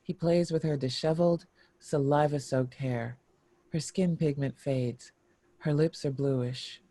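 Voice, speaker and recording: A woman's voice: low voice, steady tone, measured pace, dark tone, dry recording. A woman reading screenplay stage directions as scripted narration for a table read.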